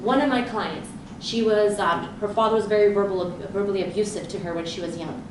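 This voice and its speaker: A woman who grew up speaking Romanian first gives a lively conference talk as a leadership coach, telling stories and acting out her own inner thoughts. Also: mid-range voice, a little fast. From grew up in Southern California. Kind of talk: live conference presentation. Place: event room with an audience. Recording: very echoey room, voice far from mic, background noise.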